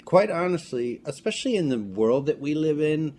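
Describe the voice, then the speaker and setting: A man's voice: on the high side, sing-song, moderate pace, darkish tone. A man being interviewed about his film work, talking casually and thoughtfully, often qualifying and questioning what he says. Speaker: filmmaker and actor being interviewed. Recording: clearly noisy room ambience.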